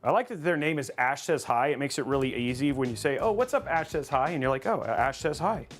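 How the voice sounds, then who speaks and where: A man's voice: medium pitch, lively intonation, brisk, faint music behind. A man hosting a live stream, chatting casually and rambling upbeat with lots of fillers and restarts. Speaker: host of a live PC-building stream.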